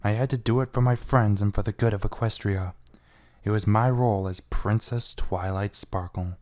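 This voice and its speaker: A man's voice: lowish voice, steady tone, normal speed, a little mellow. A man giving a brooding, theatrical first-person reading of a fan fiction story. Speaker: amateur fan fiction reader.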